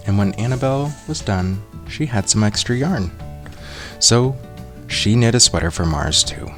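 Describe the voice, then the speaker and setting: Deep-voiced man reading a picture book. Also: moderate pace, faint music behind. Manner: expressive, acts out character voices. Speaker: storyteller. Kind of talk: children's picture book read-aloud.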